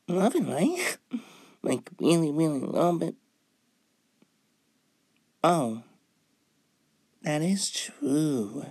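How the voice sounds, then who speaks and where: A man plays a sweet, bashful young femboy in an intimate ASMR roleplay. The delivery is slow and hesitant, with coy pitch swings. The recording is close and dry. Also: gravelly, no room sound, full character voice. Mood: excited, bashful. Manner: hesitant, coy, with drawn-out words. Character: cute, shy, playful young femboy trying on outfits.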